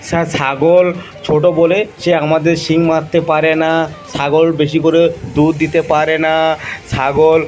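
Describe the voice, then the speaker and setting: A man's voice: fairly high pitch, level tone, normal speed, a little mellow, faint music behind, lightly acted. A man voicing a boastful, simple-minded boy pupil in an amateur animal cartoon comedy. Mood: earnest reciting.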